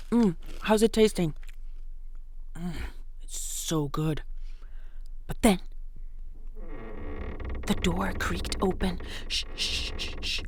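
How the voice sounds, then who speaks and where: A woman telling an interactive story for young children in a playful, expressive way, sometimes dropping into a hush. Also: lowish voice, a little hiss or hum. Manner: playful, expressive, with hushed whispery moments.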